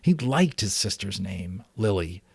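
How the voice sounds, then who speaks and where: A man's voice: deep voice, expressive, unhurried, vocal fry, breathy edge, no echo. A man reading a story aloud in an informal, dramatic way, sometimes stumbling and correcting himself. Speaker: amateur audiobook reader.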